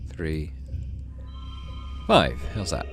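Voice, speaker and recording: A man's voice: a bass voice, huge pitch swings, drawn-out words, soft background music. A man hosting a gaming playthrough, reading story text aloud and adding casual commentary. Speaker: gaming channel host.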